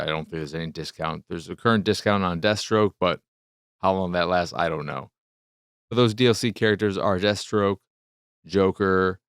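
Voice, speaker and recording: A man giving a casual spoken video game review, thinking aloud in halting short phrases. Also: on the low side, clear, resonant tone, no room sound, clean studio sound.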